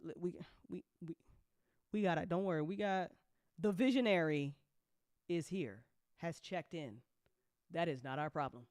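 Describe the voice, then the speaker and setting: A woman hosting a casual livestream chat as an audio drama creator, animated and joking, with informal, sweary talk full of y'all. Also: fairly low pitch, moderate pace, long pauses, no room sound, studio quality. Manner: informal speech with frequent y'all. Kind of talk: casual livestream chat answering audience questions.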